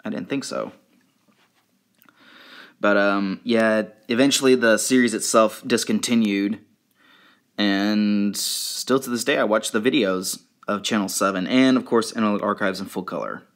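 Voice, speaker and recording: Casual vlogger: male, fairly low voice, unscripted and slightly halting, presenting from behind the camera. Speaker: video creator showing fan merchandise. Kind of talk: casual show-and-tell vlog.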